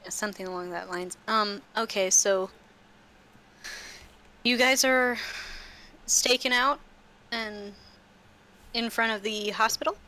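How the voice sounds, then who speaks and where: A woman running a tabletop roleplaying game as game master, speaking haltingly and often repeating words. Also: medium pitch, sharp tone, slight background noise.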